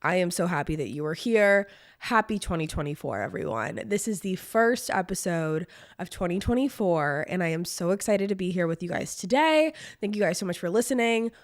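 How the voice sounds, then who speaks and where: A young woman hosting her own podcast in casual solo chat, her voice hoarse from a cold, talking with lots of "like" and "um". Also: on the low side, expressive, fairly quick, dry recording, clean studio sound. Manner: chatty, lots of "like" and "um". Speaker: podcast host.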